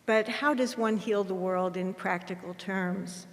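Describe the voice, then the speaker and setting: A woman presenting a scripted ceremonial speech in a measured, earnest manner. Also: mid-range voice, fairly even intonation. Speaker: event presenter. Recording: reverberant hall.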